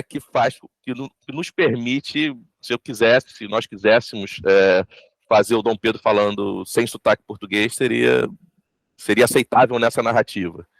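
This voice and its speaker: A Brazilian man, a voice actor, giving reflective, explanatory interview answers in a halting way, repeating words as he goes. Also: mid-range voice, gravelly, no room sound. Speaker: voice actor interviewed about an audio drama.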